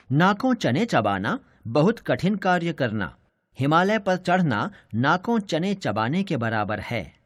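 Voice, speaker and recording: A man's voice: a little high, dry recording, studio quality. A man reading a scripted educational lesson for children as a teacher. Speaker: teacher narrator.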